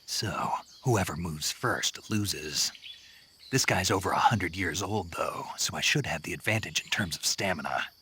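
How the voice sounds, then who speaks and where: Terse male soldier in a game; very deep, hard-edged, clipped delivery. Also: expressive, unhurried, sharp tone, a little hiss or hum, heavily acted. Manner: gruff, clipped, dry.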